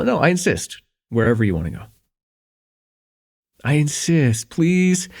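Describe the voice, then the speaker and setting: A man playing a shy, smitten young man in a slice-of-life ASMR roleplay, speaking in a halting, conversational way with nervous stammers. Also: mid-range voice, gravelly, a little mellow, exaggerated voice. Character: shy, smitten young man confessing a crush, teasing, tender.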